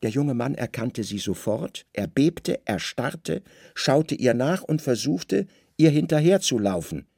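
A male storyteller for a radio play with a gravelly, creaky edge to his voice. He narrates literary prose deliberately, in short dramatic phrases, recorded very dry and close. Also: mid-range voice, big pitch swings, mellow, no echo.

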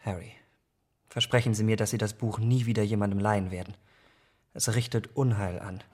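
An actor in a German radio drama playing a troubled, youthful gentleman, speaking with grave, earnest deliberation. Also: fairly low pitch, fairly even intonation, a little fast, lightly acted. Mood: reproachful, pleading.

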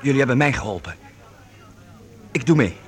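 An actor playing an earnest, dreamy youth with wide-eyed enthusiasm in a radio play. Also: male voice, medium pitch, lively intonation, moderate pace, natural voice. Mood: warm, sincere. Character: earnest, dreamy youth enraptured by colours, longing to paint. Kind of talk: Dutch radio play acting. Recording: noisy radio play recording.

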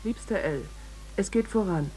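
A woman's voice: medium pitch, lively intonation, normal speed, mellow, faint music behind, lightly acted, poor audio. A woman voicing a mature letter writer, reading aloud in a radio drama. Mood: matter-of-fact. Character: woman reading her letter, computer-altered to sound older.

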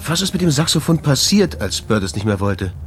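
A man's voice: mid-range voice, very animated, fairly quick, a little hiss or hum, close to an everyday voice. An actor playing a puzzled man who probes for answers in a German radio drama. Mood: puzzled, probing. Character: puzzled, probing man questioning an old musician.

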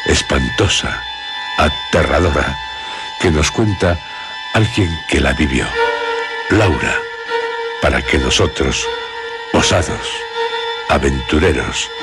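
Male horror radio host, Castilian accent, very slow and theatrical, solemn suspense over prominent music. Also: extremely low pitch. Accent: Castilian Spanish. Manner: solemn, suspenseful, pausing between short phrases. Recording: loud music behind voice. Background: loud music.

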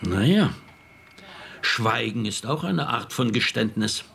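A man's voice: mid-range voice, animated, takes it slowly, creaky, fairly bright tone, a little hiss or hum, exaggerated voice. An actor playing a stern, sarcastic male police chief in a radio crime drama.

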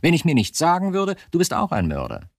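An actor in a radio drama playing a troubled, probing chief of criminal police who questions insistently. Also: male voice, on the high side, huge pitch swings, brisk, no echo, clean studio sound, exaggerated voice. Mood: animated, probing. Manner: interrogating, insistent short questions.

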